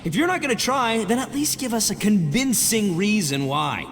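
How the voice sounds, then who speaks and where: A man's voice: sits high, animated, normal speed, fairly bright tone, big-room sound, faint music behind. An actor playing a brash, goofy young male oni gang boss in a video game, talking in swaggering slang. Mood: impatient. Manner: slangy, swaggering, ungrammatical bravado. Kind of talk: animated video game voice acting.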